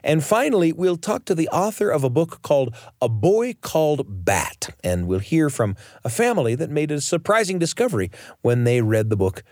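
Animated male radio host, dry studio sound. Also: a little high, moderate pace, dry recording. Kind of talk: scripted storytelling narration.

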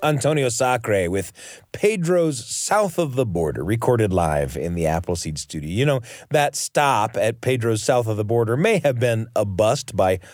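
Animated male radio host, dry studio sound. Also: on the low side, measured pace, dry recording, soft background music. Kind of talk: hosted radio narration and chat.

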